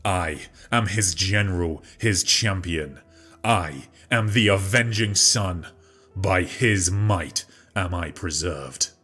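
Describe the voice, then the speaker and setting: A narrator puts on a commanding demigod warrior-king, stern and solemn. The voice sits deep and moves at an unhurried, weighty pace, each line booming with authority. Soft music plays faintly behind. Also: slightly rough, exaggerated voice. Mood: proud, solemn. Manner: grave, slow, booming delivery. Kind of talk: audiobook character voice.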